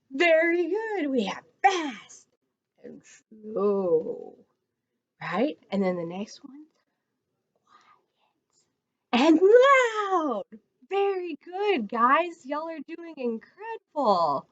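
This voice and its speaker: Casting: American woman as children's storytime reader, very slow and encouraging, widely swooping pitch, dull lo-fi sound. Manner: sing-song, encouraging, addresses children directly. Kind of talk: children's read-aloud and counting rhymes. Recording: dull lo-fi audio.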